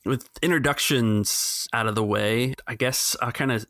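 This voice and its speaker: A man's voice: medium pitch, a little slow, a bit bright, dry recording, clean studio sound. A man narrating a tabletop game as game master, talking in a hesitant, rambling way full of fillers.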